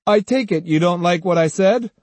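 A man acts out an arrogant young swordsman in a dramatised story. The voice sits high and swings widely, with a husky grain. He is pompous and sneering, leaning hard on his points. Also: expressive, moderate pace, warm tone, no echo. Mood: blithe, needling. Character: arrogant, grandly boastful, snide young male swordsman.

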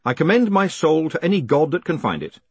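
Guy doing a doomed conman in a storybook, stammery, stalling, a bit haughty, pitched up, husky. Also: big pitch swings, fairly quick, darkish tone, no room sound, full character voice. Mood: haughty, resigned. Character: condemned male swindler, stalling and hesitant, haughty.

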